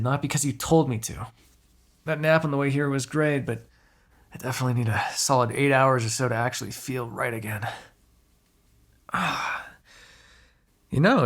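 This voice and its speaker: A man's voice: medium pitch, lightly acted. A man playing a sarcastic, flirtatious vampire in an audio roleplay, teasing and swearing casually. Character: snarky, flirtatious, insatiable male vampire on the run. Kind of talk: ASMR roleplay acting, one side of a dialogue.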